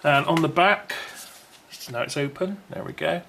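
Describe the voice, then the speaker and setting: A man's voice: a little high, moderate pace, gravelly, fairly bright tone. Man chatting through an unboxing, noisy recording. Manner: chatty and rambling, thinking aloud. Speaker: unboxing video presenter. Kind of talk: casual unscripted unboxing commentary.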